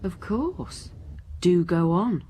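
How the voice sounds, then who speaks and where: A woman acting a dry, sceptical police inspector in a radio drama, questioning in a measured, pointed way. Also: fairly low pitch, animated, dark tone, a little hiss or hum. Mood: dry, probing. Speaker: actor in radio crime drama.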